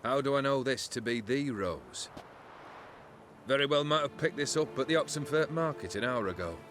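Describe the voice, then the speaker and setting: An actor playing a proud, wistful cursed immortal nobleman in a video game, with theatrical, measured delivery over music. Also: mid-range voice, animated, natural voice. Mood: wary, haughty.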